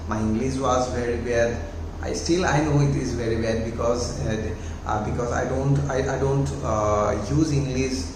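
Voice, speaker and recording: A man's voice: mid-range voice, steady tone. Young Assamese-accented man, very echoey room. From Assam, India. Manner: halting, many restarts and fillers, gestures while talking. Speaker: YouTube host. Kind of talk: casual talking-head advice. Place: small room at home, indoors. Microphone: clip-on lapel mic. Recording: very echoey room with audible noise.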